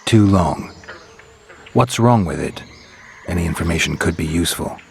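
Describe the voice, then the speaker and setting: Gruff fantasy game monster hunter, low pitch, gravelly, terse, dry, faint ambient noise behind. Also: male voice, moderate pace. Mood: dry, businesslike. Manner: terse, dry, matter-of-fact. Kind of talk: fantasy video game acting.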